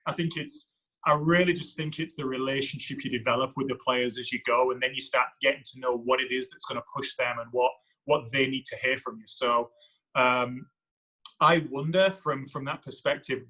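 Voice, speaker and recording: A man in his thirties, a soccer coach, with a deep voice and a non-American accent, answering interview questions in a reflective, thinking-aloud way. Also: fairly even intonation, normal speed, pushed and bright, husky, rough recording. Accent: foreign to the United States. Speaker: women's soccer head coach.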